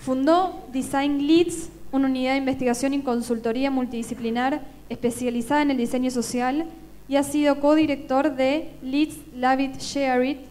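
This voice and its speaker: High-voiced woman introducing a speaker. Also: steady tone, a little mellow, slight background noise. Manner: brisk, reads a biography aloud. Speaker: conference moderator.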